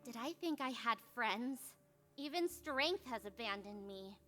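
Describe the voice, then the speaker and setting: High-pitched, hot-tempered magical girl. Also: animated, unhurried, music in the background. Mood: despairing. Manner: dramatic, sarcastic, exasperated outbursts. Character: hot-tempered, self-pitying young magical girl in distress. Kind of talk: visual novel character voice acting.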